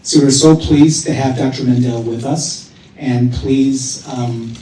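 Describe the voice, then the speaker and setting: A man's voice: medium pitch, fairly even intonation, slow pace, warm tone. A man introducing a guest speaker at a theater event, reading a prepared bio with small hesitations. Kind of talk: formal introduction. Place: theater auditorium. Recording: echoey hall from far off.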